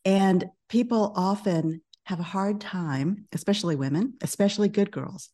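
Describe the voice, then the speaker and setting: A middle-aged woman speaking as a podcast guest, a business coach who talks in a calm, measured way. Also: mid-range voice. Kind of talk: podcast interview.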